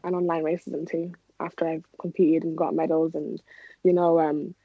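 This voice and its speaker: A woman's voice: low-pitched, level tone, moderate pace, no echo. Young adult Irish woman, informal interviewee, casual speech full of "like", dry and slightly muffled lo-fi sound. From Ireland. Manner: informal, frequent "like". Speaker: interview guest, athlete. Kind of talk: casual conversational interview answers. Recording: dull, lo-fi audio.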